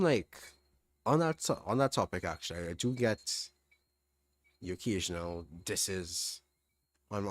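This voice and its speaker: A young man with a mild Trinidadian accent answering chat questions on a livestream, relaxed, thinking aloud with restarts. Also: sits low. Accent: Trinidadian, fairly standard. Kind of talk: casual livestream Q&A.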